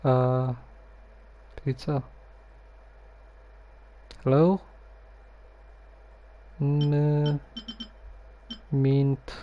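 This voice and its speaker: A man giving casual live gameplay commentary, haltingly muttering to himself as he plays. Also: medium pitch, level tone, highs cut off, slight background noise. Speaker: game streamer.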